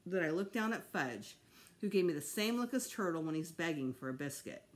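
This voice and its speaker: Expressive woman reading a storybook. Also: lowish voice, fairly quick. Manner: expressive, acts out dialogue. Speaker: storytime reader. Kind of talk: reading a children's novel aloud. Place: small home room, seated indoors.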